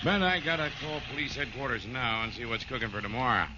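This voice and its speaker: An actor playing a brisk, no-nonsense off-duty policeman in an old-time radio sitcom. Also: mid-range voice, bright, forceful tone. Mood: easygoing. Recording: dull, noisy old radio recording.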